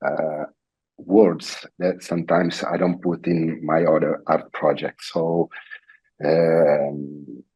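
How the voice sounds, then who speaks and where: A middle-aged man from Italy speaks with an Italian accent, his voice sitting very low. There is a husky grain to it as he chats in a guest interview. He stops and restarts, repeating small phrases and filling gaps with "uh". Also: flat delivery, dark tone, rough recording. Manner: halting, repeats phrases, many fillers. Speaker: guest artist. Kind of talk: casual interview chat.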